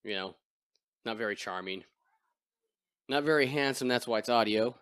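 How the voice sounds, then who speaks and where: Man, deep voice, podcast host, crude joking banter, dry close mic. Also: normal speed, fairly bright tone, clean tone, dry recording. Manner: joking, crude, self-deprecating. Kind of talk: casual chat over beers.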